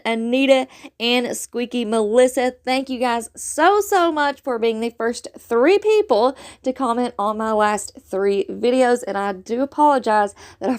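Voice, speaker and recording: A woman hosting a couponing vlog, her fairly high voice a little rough from a sore throat. She talks to viewers in an upbeat, chatty way, calling them "y'all" and "guys.". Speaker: couponing channel host. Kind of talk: casual vlog talk to viewers.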